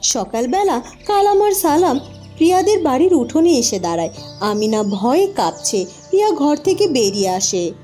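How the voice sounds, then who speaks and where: A woman's voice: high voice, sing-song, moderate pace, warm tone, faint music behind. A woman giving scripted storybook narration for a cartoon folk tale.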